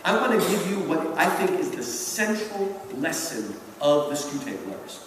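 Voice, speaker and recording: A man lectures in an animated, theatrical way with a high voice. His pitch moves widely as he stresses his points. He is picked up from a distance in a very echoey hall. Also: normal speed. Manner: emphatic, theatrical storytelling. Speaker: lecturer and college teacher. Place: large indoor hall at a public event. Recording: very echoey hall from far off, faint noise.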